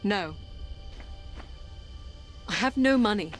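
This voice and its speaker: An actress playing a young blind princess in an audio game, wary but determined, with a measured, pensive delivery. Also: female voice, mid-range voice, animated, faint music behind. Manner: measured, pensive, often speaking to herself.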